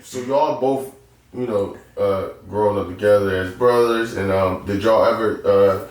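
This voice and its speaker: A man's voice: on the low side, normal speed, a little mellow. A man hosting a casual interview, speaking in slang and often repeating his phrases. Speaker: podcast host. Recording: very echoey room, distant mic, faint noise.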